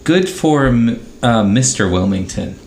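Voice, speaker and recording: A man's voice: medium pitch, animated, a little slow, darkish tone. A man co-hosting a podcast, chatting casually about a TV show. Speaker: podcast co-host. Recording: roomy echo with background noise.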